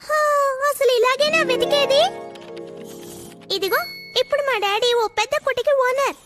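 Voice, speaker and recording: Confident young cartoon girl, extremely high voice, breezy and teasing, over music. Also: moderate pace. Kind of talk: dubbed cartoon acting.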